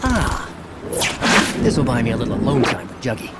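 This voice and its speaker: A man's voice: medium pitch, very animated, brisk, heavy background noise, lightly acted. A man playing a young wisecracking superhero in a video game, quippy and sarcastic. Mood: wry, exasperated. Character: young wisecracking male superhero, cocky yet exasperated.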